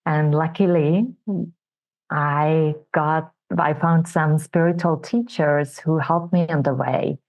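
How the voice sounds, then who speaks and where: A woman from Finland with a Finnish accent, a guest on an interview show, sharing her personal story in a soft, reflective way. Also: low-pitched, steady tone, slow, poor audio.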